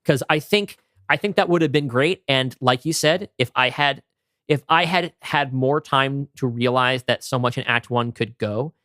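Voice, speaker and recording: A man's voice: mid-range voice, moderate pace, a bit bright, no echo, clean studio sound. A man co-hosting a podcast in casual creative discussion, emphatic and often restarting his sentences. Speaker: podcast co-host.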